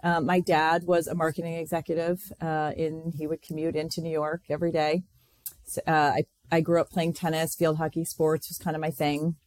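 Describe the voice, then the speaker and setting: A woman's voice: sits low, little pitch movement, moderate pace, darkish tone. A middle-aged woman from Connecticut speaks as a guest on a podcast. She tells her story in a friendly, earnest way, with plenty of ums and false starts. The sound is dry, with no room echo. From Connecticut, USA. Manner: friendly, earnest, with frequent fillers and restarts. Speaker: financial firm president, podcast guest. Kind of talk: casual interview storytelling.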